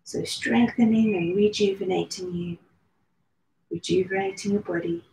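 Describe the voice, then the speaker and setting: A woman leading a guided meditation, speaking slowly and soothingly with long pauses. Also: medium pitch, gravelly, mellow. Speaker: meditation and yoga teacher. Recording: roomy echo with faint background noise.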